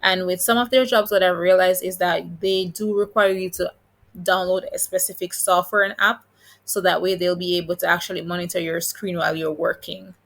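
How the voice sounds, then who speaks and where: Female explainer host, Jamaican accent, fairly low register, noticeable vocal fry, encouraging informal guidance. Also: fairly even intonation, normal speed, a bit bright. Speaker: video host giving job-hunting advice. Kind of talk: informal explainer, reading listings and commenting.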